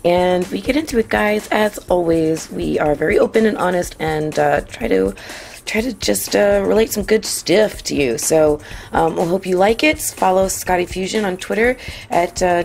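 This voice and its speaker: A slightly hoarse woman hosting and producing a podcast, bubbly and excitable in casual chat. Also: fairly low pitch, moderate pace, a little hiss or hum. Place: restaurant on the water.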